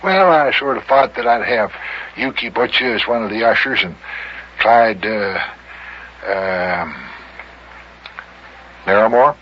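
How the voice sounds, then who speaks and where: An actor playing a bashful old small-town mayor in an old-time radio comedy, rambling and stammering in rustic grammar. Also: male voice, on the low side, slow, long pauses, breathy edge. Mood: chatty, bashful. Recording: dull, noisy vintage radio recording.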